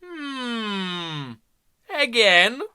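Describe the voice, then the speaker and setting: A man's voice: sits high, sing-song, drawn-out words, bright, forceful tone, clean tone. A man hosting a game lore channel with casual reaction commentary, musing aloud and leaning hard on key words.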